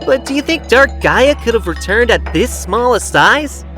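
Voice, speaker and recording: Breezy, cocky animal hero, male actor, audio drama. High register, sharp bright tone. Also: lively intonation, normal speed, soft background music, exaggerated voice. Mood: impressed. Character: cocky, breezy young blue hedgehog hero. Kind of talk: audio drama character acting.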